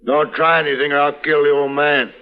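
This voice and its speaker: A man's voice: on the high side, little pitch movement, a little fast, clear, resonant tone, close to an everyday voice. An actor playing a cold, threatening gunman, on a dull old radio recording. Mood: menacing. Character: menacing male gunman.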